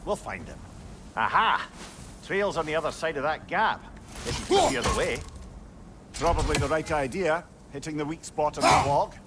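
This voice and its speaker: A man's voice: on the high side, animated, moderate pace, noisy background. An actor playing a wry, chatty male know-it-all companion with a Scottish accent in a video game. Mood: alert. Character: severed talking head, witty know-it-all male companion. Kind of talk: video game character acting.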